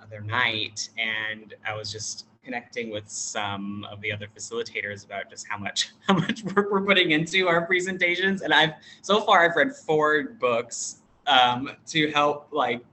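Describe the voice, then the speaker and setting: Non-binary american teacher on a video call, bright voice, lots of ums and restarts, thinking it through. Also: mid-range voice, a little hiss or hum, low-quality recording. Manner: thoughtful, hesitant, with many fillers and restarts. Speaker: teacher at an international school; workshop facilitator. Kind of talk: casual interview talk.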